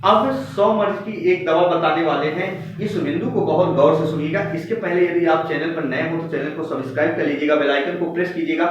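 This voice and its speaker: A man with a fairly high voice teaches students in an informal, insistent style, repeating his points. He sounds set back from the microphone in a heavily echoing room. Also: fairly quick, hardly any pauses. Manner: emphatic, repetitive, directly addressing listeners. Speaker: exam coaching teacher. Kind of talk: informal exam-update lesson for students. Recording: very echoey room, distant mic, dull, faint noise.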